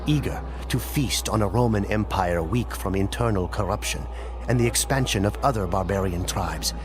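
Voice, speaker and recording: A man giving solemn, measured, scripted dramatic narration in the manner of a storyteller for a game cutscene. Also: fairly low pitch. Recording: music playing under the voice. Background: clear background music.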